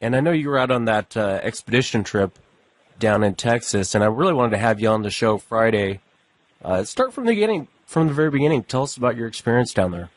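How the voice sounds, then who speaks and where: Hoarse man hosting a talk show. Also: medium pitch, expressive, fast, dry recording. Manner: easygoing, thanks guests often. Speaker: podcast host.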